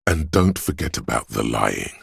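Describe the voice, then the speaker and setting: Grave inner voice of empathy, literary game narration, rumbling bass, gravelly, measured, close dry recording. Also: male voice, very animated, no room sound, full character voice. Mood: grave, reproachful. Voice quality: gravelly, husky.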